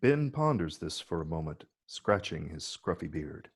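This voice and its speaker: A man delivers scripted narration for a screenplay reading. His voice is deep and husky, with a heavy gravelly creak. He reads the scene descriptions as a narrator. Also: very animated, normal speed. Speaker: narrator reading stage directions.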